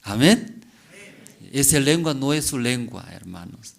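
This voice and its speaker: A male pastor delivering a sermon, constantly asking the congregation rhetorical questions and checking in with a quick "mm?". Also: mid-range voice, expressive, creaky.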